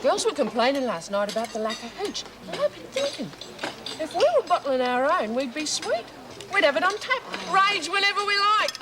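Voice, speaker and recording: A woman's voice: high-pitched, big pitch swings, brisk. An actress playing a brash, boisterous female prison inmate. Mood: scheming. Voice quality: rough voice. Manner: boisterous, joking, cocky. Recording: loud hiss on old TV audio.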